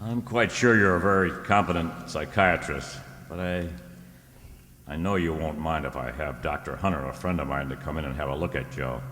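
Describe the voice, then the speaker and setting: Man acting a deep-voiced, blunt tough detective. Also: normal speed, fairly bright tone. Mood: firm. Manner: blunt, informal, clipped. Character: blunt, tough, loyal male private investigator. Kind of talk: old-time radio drama acting. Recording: echoey hall, background noise.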